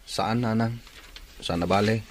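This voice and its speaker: A man's voice: fairly low pitch, steady tone, unhurried, a little hiss or hum, lightly acted. A man acting an earnest, respectful young student and son in an Ilocano radio drama, with an Ilocano accent. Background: music.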